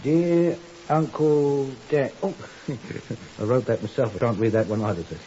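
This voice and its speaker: An actor playing a deferential, slightly flustered attendant in a 1950s radio drama. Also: male voice, medium pitch, animated, unhurried, dark tone, close to an everyday voice. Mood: eager, deferential. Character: deferential, flustered English male attendant, chummy and excitable. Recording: muffled, hissy 1950s radio recording.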